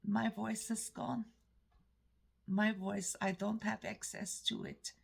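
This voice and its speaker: A woman from Canada with an Eastern European-sounding accent, telling a story in a rambling, halting way with many restarts. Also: medium pitch, level tone, vocal fry, breathy edge. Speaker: vlogger. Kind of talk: casual storytelling monologue.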